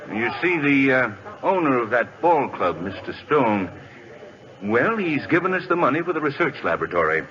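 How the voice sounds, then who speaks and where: Knowing older professor and father, animated pitch, old dull radio sound. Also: moderate pace. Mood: dry. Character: older, dry, knowing father and college doctor. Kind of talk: 1940s radio acting.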